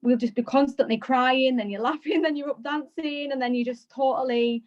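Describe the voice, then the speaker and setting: A woman's voice: high voice, steady tone, a little mellow. Woman guest, earnest, rambling, slightly muffled call audio. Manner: earnest, rapid, heavy use of "like". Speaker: guest team member sharing takeaways. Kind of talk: casual chat on a video call. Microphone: video call, phone balanced on a bag.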